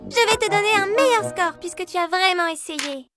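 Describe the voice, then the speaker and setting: Squeaky, swooping little cartoon puppy girl. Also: animated, brisk. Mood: eager, bubbly. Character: cheerful, eager young female puppy, aspiring actress. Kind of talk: French-dubbed children's cartoon acting. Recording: loud music behind. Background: loud children's cartoon music.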